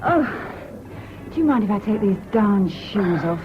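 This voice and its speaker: An actress playing a frank, bossy but affectionate young British woman in a radio drama, brisk and teasing. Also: medium pitch, animated, over music, close to an everyday voice. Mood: self-deprecating. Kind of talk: BBC radio drama acting. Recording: dull lo-fi old radio broadcast, hissy.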